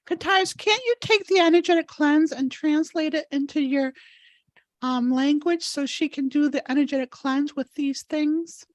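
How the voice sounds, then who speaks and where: A woman's voice: high voice, moderate pace, no echo. A woman hosting a live healing session, moving between guided affirmations and informal, encouraging chat. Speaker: host, energy healer leading a live session. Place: live online video call.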